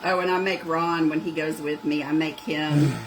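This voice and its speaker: A woman's voice: sits low, fairly even intonation, normal speed, background noise. A middle-aged woman with a North Georgia Southern accent, chatting casually while demonstrating a craft project. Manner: chatty, drawling, says "y'all" and "girl". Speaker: craft shop owner and video host. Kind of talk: casual crafting livestream chat.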